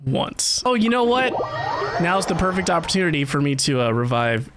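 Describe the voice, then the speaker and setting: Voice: mid-range voice, sing-song, moderate pace, noisy background. A game streamer, chatting informally and casually during a livestream. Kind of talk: casual livestream chat while gaming. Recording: game music behind the voice.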